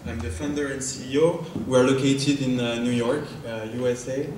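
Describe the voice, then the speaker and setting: A man's voice: medium pitch, steady tone, moderate pace. A man with a French accent, a startup founder giving a live product demo on stage, hesitant, with many fillers and restarts. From France. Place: large conference hall. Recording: echoey hall from far off, faint noise.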